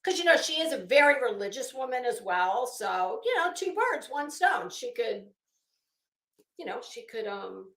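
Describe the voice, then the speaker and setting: A woman's voice: a little high, very animated, moderate pace, fairly bright tone. A blunt woman giving sarcastic, dramatic commentary as she streams, mixing reading aloud with off-the-cuff remarks and swearing. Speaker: commentary host, live streamer. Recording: echoey, roomy sound.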